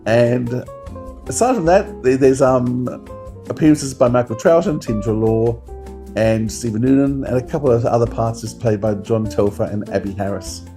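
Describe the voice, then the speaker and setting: Mellow-voiced male podcast co-host. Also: mid-range voice, normal speed, faint music behind. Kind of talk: informative casual review chat.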